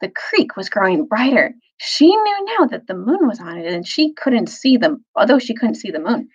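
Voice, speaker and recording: A woman reads a children's novel aloud with lively, swooping intonation. Her medium-pitched voice is a little husky, and she phrases carefully for emphasis. The recording is slightly dull. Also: normal speed. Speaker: storyteller, teacher reading aloud.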